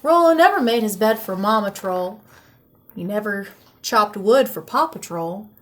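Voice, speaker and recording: A woman teacher reads a picture book aloud to children, taking her time with each line. Her voice sits a little high, and her delivery is slow and expressive. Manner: slow, expressive storytime reading.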